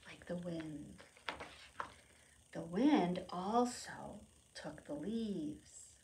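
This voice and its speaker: Slow, expressive woman leading preschool storytime. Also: on the low side, background noise. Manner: simple, expressive teacherly phrasing addressed to young children. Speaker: children's library storytime presenter. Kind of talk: read-aloud storytime for preschoolers with questions and explanations.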